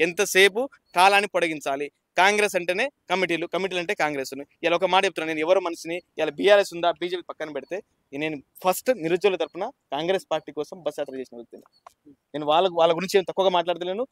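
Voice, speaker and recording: A man's voice: high voice, steady tone, rapid-fire, fairly bright tone, no room sound, clean studio sound. A young man with a Telangana Telugu accent, an activist arguing insistently and challengingly, often repeating himself. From Nalgonda district, Telangana, India. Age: young adult. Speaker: activist for unemployed job seekers. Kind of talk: heated argument and appeal.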